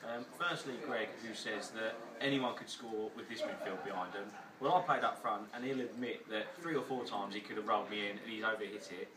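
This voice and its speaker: A man's voice: on the low side, brisk, hardly any pauses, distant mic, noisy background. A young English man, a football player, complaining bluntly about teammates in a dry, sweary way. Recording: roomy, from off mic, voices around.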